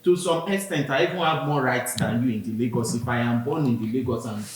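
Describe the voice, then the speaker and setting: Nigerian man in a very echoey room. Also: brisk. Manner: earnest, explanatory, builds points with personal examples. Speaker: podcast co-host. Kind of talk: casual discussion. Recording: very echoey room, faint rumble.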